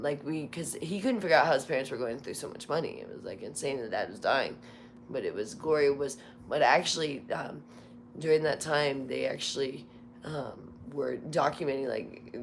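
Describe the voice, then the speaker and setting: A woman chatting casually on a livestream, hoarse from losing her voice, rambling with many fillers and restarts. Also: deep voice, a little hiss or hum. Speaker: livestream host.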